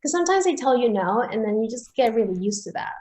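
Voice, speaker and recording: Brief: young woman from Peru, talkative interview guest, fairly high voice, frequent restarts. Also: very animated, fast, darkish tone. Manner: chatty, many restarts and fillers, laughs easily. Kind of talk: casual interview chat.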